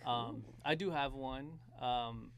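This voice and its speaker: A man in casual conversation, talking in a halting, jokey way. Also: medium pitch, vocal fry, clean tone. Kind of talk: casual chat.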